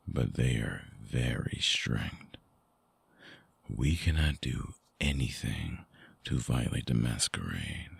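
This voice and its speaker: A man playing a brooding, vulnerable vampire ex-lover in an intimate ASMR audio drama, hushed and halting, with a breathy, husky, gravelly voice close to the mic. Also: very deep voice, dry recording, exaggerated voice. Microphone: close binaural microphone, dry.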